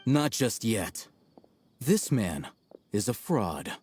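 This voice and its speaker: A man playing a cool, calculating, self-assured young scholar in a video game, speaking in a measured, formal, deadpan way. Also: lowish voice, background noise. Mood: cool, firm.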